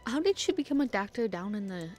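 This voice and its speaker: A woman's voice: mid-range voice, sing-song, quick pace, darkish tone, soft background music. A woman giving casual live commentary on a game, in brief, offhand remarks as she follows the story. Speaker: game streamer reacting to the story.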